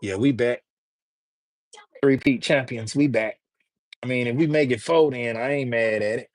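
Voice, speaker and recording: A man's voice: medium pitch, normal speed. A man from Alabama, hosting a livestream and chatting casually with his viewers in slangy talk. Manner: loose, slangy, talks straight to chat. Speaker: livestream host.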